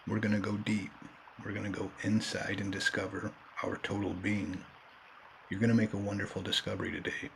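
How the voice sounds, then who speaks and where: A man leading a guided meditation in a calm, unhurried manner, leaving long pauses between short phrases. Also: on the low side, gravelly, slight background noise, low-quality recording. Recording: background nature ambience and birdsong. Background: nature and bird sounds.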